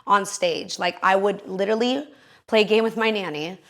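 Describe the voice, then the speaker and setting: A young woman with a raspy voice of middling pitch talks freely as a guest singer in an interview. She rambles a bit, leaning on "you know" and "like" as she goes. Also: moderate pace, a bit bright. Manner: chatty, full of fillers like "you know" and "like". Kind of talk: casual interview chat.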